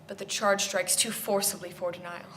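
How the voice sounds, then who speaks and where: A woman playing a proud young Regency gentlewoman humbled by her own folly, in a formal, self-reproaching stage monologue. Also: on the low side, little pitch movement, moderate pace, a little hiss or hum, voice pushed down, natural voice. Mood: ashamed, indignant.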